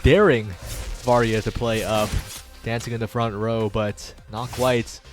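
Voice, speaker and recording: A man's voice: mid-range voice, flat delivery, measured pace, soft background music. A man giving live play-by-play esports commentary, following the action in long running sentences.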